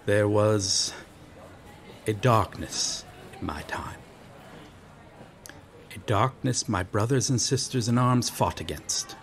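A man's voice: on the low side, animated, slow pace, pauses often, slight background noise. A man playing a noble, earnest medieval knight lost in modern times in an audio roleplay, speaking in formal, archaic, solemn phrases. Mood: grave, recounting. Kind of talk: ASMR audio roleplay, dramatic monologue.

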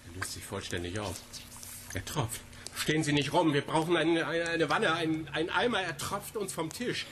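A man's voice: a little high, expressive, normal speed, noisy background, close to an everyday voice. An actor playing a flustered, brusque male scientist in a German radio drama, agitated and curt.

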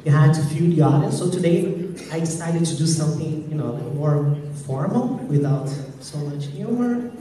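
A man's voice: on the high side, moderate pace, dark tone, a little hiss or hum. A man, a teacher, giving a self-mocking, jokey presentation on stage. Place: large hall, on stage. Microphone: far audience camera. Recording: echoey hall from far off.

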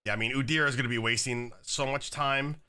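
A young man with a slightly raspy voice from being sick, chatting casually while commenting on his own gameplay at a desk setup. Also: mid-range voice, steady tone, a little fast, bright, forceful tone. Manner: casual, chatty, many fillers like "like" and "um". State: slightly raspy, recovering from illness. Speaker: gaming YouTuber. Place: home gaming setup, indoors.

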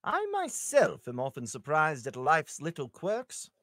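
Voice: medium pitch, huge pitch swings, measured pace, fairly bright tone, no echo, clean studio sound, pitched below the performer's own voice, heavily acted. A performer at an amateur table read voicing a jaunty, nonchalant young adventurer hero in a breezy, theatrical way. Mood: airy explaining.

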